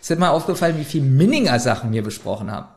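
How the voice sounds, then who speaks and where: Ein Mann, der als Co-Host in einem lockeren Podcast mit gespieltem Ernst seinen Partner aufzieht. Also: male voice, medium pitch, big pitch swings, racing, vocal fry. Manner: playful, mock-serious ribbing. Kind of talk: casual podcast chat.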